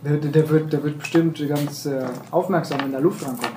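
A man's voice: on the high side, fairly even intonation, fairly quick, darkish tone. A man chatting casually as a player in a tabletop role-playing game. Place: indoor room with other players. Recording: roomy, noisy group recording with clicks.

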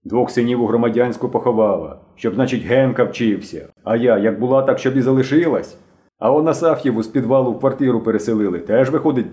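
A man's voice: fairly low pitch, mellow, natural voice. A man voicing a stern elderly working-class aunt in an audiobook, grumbling and reproachful, with emphatic delivery.

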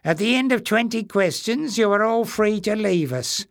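A high, sneering creature leader, as a male narrator puts him on, cruel and mocking, with clipped broken phrasing. The reading sits close and dry, in an audiobook storybook style. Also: lively intonation, normal speed, no echo. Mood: menacing.

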